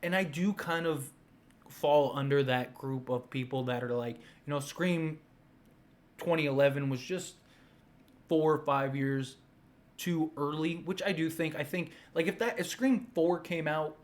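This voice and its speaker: Higher-voiced man giving enthusiastic fan commentary. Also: a little slow. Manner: enthusiastic, emphatic, repetitive rambling. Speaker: fan commentator, video host. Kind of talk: casual unscripted opinion commentary.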